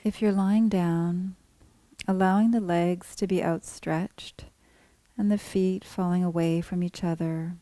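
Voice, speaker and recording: A woman guiding a body scan meditation as a teacher, speaking calmly in measured, gently spaced phrases. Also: on the low side, no room sound. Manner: calm, unhurried, gently measured phrasing with pauses. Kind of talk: guided meditation, scripted instruction.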